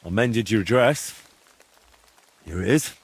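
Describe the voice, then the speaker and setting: A young lad from a medieval game. His voice is husky and on the low side, with lively intonation. He comes across as patient, kindly and gently coaxing. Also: slow, exaggerated voice. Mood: helpful, patient. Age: young adult. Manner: gentle, coaxing, slightly hesitant. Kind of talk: dramatic video game dialogue.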